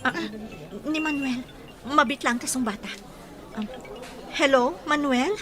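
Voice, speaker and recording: Woman acting sweet young lady, very high voice, Ilocano accent, radio drama. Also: unhurried, crowd noise. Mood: puzzled, worried. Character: sweet, trusting young woman awaiting her date.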